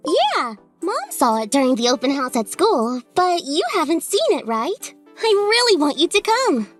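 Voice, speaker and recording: Very high, earnest little schoolgirl. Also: huge pitch swings, normal speed, soft background music. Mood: hopeful. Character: earnest, cheerful schoolgirl daughter, sweet and loyal. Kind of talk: dubbed manga drama acting.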